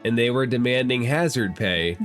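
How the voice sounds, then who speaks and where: A man's voice: mid-range voice, lively intonation, normal speed, fairly bright tone. Man game-mastering over guitar music. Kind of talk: recapping a tabletop role-playing game to players.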